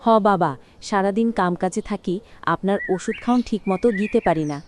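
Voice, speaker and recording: An actress playing a busy daughter-in-law in a cartoon, making polite, persuasive excuses in a Bangladeshi Bengali accent. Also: female voice, mid-range voice, normal speed, a little hiss or hum, close to an everyday voice. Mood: excusing, coaxing. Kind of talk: cartoon voice acting.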